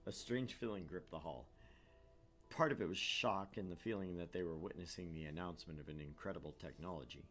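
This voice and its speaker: A man's voice: low voice, a little fast, a bit bright, soft background music. A man hosting a stream, playful and chatty, moving between casual talk and narrating a visual novel. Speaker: streamer, co-host.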